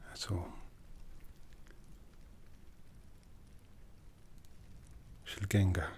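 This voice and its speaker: A man playing an ancient, brooding vampire lord in an ASMR roleplay, speaking in a breathy, hushed, solemn voice that slips into whispers. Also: low voice, level tone, background noise. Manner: deliberate, solemn, intimate. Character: ancient vampire lord, brooding, commanding, softly menacing, courtly. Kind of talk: ASMR roleplay, soft speech slipping into whispers.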